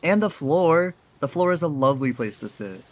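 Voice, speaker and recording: An actor playing a kindly, soft-hearted faceless figure in an audio drama, with an easygoing and reassuring way of talking. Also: mid-range voice, lively intonation, normal speed, lightly acted. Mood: playful.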